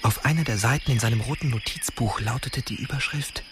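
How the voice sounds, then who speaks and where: An actor playing a scheming, secretive fantasy adventurer in a radio drama, speaking in a deliberate, conspiratorial way. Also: male voice, medium pitch, close to an everyday voice. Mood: secretive, confident. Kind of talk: German radio drama dialogue. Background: music.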